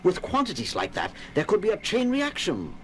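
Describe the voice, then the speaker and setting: An actor playing an earnest moonbase officer and scientist, reporting grave danger in an emphatic, deliberate way for a record drama. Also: male voice, high-pitched, huge pitch swings, slight background noise. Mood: alarmed. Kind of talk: 1970s record audio drama acting.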